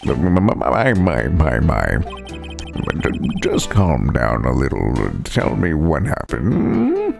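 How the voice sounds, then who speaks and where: A deep-voiced older lawyer acted by a man stammers and deliberates in avuncular fashion. The speech is slow and swings widely in pitch, a theatrical game performance over clear music. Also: wildly swooping pitch, full character voice. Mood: ponderous, concerned. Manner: stammering, ponderous. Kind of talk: dramatized video game dialogue. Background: background music.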